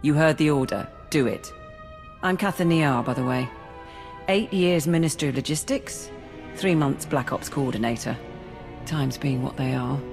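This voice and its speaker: A woman playing a brisk, efficient military operations coordinator giving businesslike briefings in a video game. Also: rumbling low. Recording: reverberant hall, music behind.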